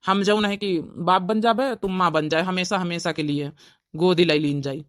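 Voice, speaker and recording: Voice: mid-range voice, moderate pace, fairly bright tone, clean tone, no room sound, studio quality, close to an everyday voice. A performer playing an earnest, devoted young village man with an Awadhi accent in a family cartoon. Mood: pleading, earnest. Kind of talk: family drama cartoon dialogue.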